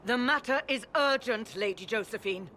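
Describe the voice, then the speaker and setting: A woman's voice: a little high, normal speed, pushed and bright, a little hiss or hum. An actress playing a solemn, insistent senior churchwoman in a fantasy video game, formal and pressing in her address. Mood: urgent. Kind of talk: video game cutscene acting.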